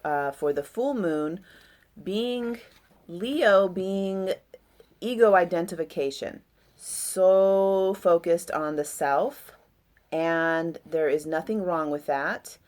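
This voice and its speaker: A woman with a deep voice talking about astrology in a loose, rambling, reflective way with many fillers. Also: takes it slowly, darkish tone. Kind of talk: casual astrology talk.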